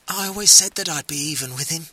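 A man's voice: a little high, fast, sharp tone, husky, no echo, pitched above the performer's own voice, heavily acted. A man voicing a vengeful dead woman who gloats with suppressed laughter over her husband's murder, in a read-aloud story. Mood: gloating.

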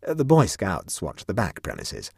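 A man voicing a calm, nonchalant young male prankster who talks in a dry, matter-of-fact way. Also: low voice, moderate pace, gravelly, breathy edge, no echo, full character voice. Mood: cool, nonchalant.

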